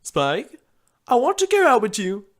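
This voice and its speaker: A narrator doing a high-pitched, coy lady pony. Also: wildly swooping pitch, measured pace, a bit bright. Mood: earnest confession. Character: elegant adult female pony, flustered and coy.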